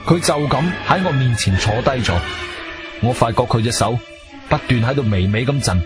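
A man performing a stern, controlled hero narrating a radio play. His pitch moves widely and dramatically, with clear music playing behind him. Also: animated, normal speed, lightly acted. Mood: tense, controlled. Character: composed, wronged male adventurer narrating his own ordeal. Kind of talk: first-person radio drama narration and dialogue.

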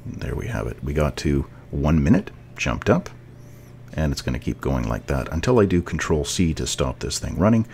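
A man's voice: a bass voice, big pitch swings, normal speed, vocal fry, a little mellow, a little hiss or hum. A man giving a casual, step-by-step tutorial narration as a programming instructor, explaining as he goes.

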